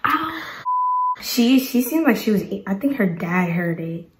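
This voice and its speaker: A young woman chatting casually and excitedly to camera as a vlogger at home. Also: mid-range voice, unhurried, very noisy. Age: teenager or young adult. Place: living room indoors at home.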